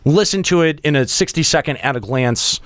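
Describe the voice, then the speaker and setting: Male host, higher-pitched, lively swings, bossy and teasing, halting delivery, dry close mic. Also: dry recording. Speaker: radio host and boss giving feedback. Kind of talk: casual on-air critique with co-hosts.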